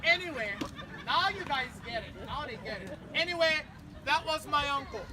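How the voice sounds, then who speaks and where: A woman's voice: high voice, animated, normal speed. A woman leading a family memorial outdoors at a beach, quizzing the crowd playfully and then praying. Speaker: memorial service leader. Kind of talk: family memorial address with audience games. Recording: outdoor ambience with clear traffic noise.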